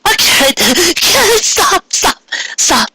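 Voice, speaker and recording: A male reader as tender female cartoon pony, married, bashful, high lifted voice, breathy and halting, storybook fan fiction. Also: sing-song, background noise, heavily acted. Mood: breathless, pleading.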